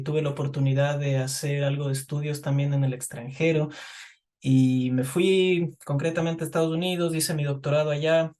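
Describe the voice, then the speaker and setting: A middle-aged man from Ecuador speaks as a guest in an interview, in a voice pitched a little higher than most men. He talks in a thoughtful, halting way and often repeats words while he gathers his thoughts. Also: a little mellow. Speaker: guest chemist. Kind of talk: interview answers.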